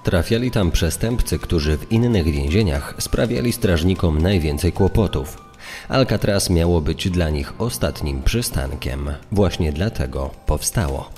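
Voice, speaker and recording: Man, very low dark voice, scripted true-crime narration, guest podcaster. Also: animated, a little slow. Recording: long reverb, music underneath. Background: background music.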